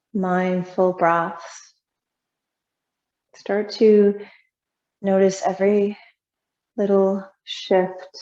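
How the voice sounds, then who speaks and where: A woman yoga teacher leading a guided meditation in a soothing, unhurried, gently instructing way with long pauses. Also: mid-range voice. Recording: roomy echo, slightly muffled sound.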